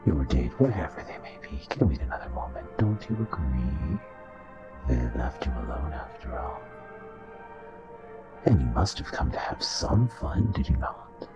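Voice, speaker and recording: A man playing a charming, possessive, ancient royal vampire in an intimate romantic audio roleplay, speaking in a courtly, seductive, breathy way. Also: a bass voice, sing-song, unhurried, muffled recording, heavily acted. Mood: seductive, coaxing. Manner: courtly, seductive, often breathy half-whisper. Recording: soft voice over background music.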